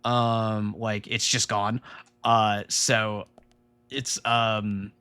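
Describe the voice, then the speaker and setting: A man's voice: fairly low pitch, flat delivery, extremely slow, sharp tone, clean tone, no echo. A man with a Rhode Island accent, a game developer chatting casually on a live stream, rambling with lots of fillers and laughs.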